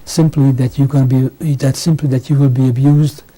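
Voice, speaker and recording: A man in his sixties from Hungary, giving oral history testimony in a halting way, with many restarts and pauses. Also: medium pitch, little pitch movement, warm tone, rough recording. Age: 60s. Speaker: interviewee. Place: home interview.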